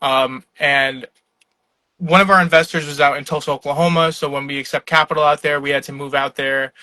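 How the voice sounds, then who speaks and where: A young man from New York speaking on a live video call, his voice bright and forceful, a little higher than most men's. He talks in a relaxed, rambling way, full of "um" and "like," and laughs now and then. The sound is dry and close. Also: a little fast, no room sound. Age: young adult. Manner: laid-back, filler-heavy, laughs often. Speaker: startup founder, guest. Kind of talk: casual conversational interview. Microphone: online live video call.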